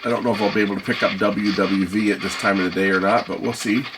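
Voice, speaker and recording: A man's voice: lowish voice, steady tone, fast. A man giving a casual hands-on gadget demonstration, musing aloud as he tries things out. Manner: musing aloud while trying controls. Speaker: product reviewer. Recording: noisy, radio static and ambience. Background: radio noise.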